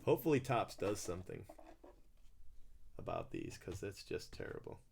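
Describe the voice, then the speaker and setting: A man's voice: sits low, lively intonation, normal speed, gravelly, a little mellow, slight background noise. A man hosting a live trading-card break, chatting casually and calling out each card as he opens packs. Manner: chatty, calls out card names while opening packs.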